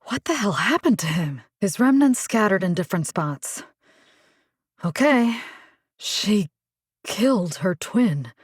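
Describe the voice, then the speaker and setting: A woman's voice: mid-range voice, animated, slow pace, pauses often, breathy edge, no room sound, studio quality. An actress voicing a wry, determined, compassionate young woman who hunts monsters in a video game, speaking conversationally with muttered asides. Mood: uneasy surprise. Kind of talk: video game character voice lines.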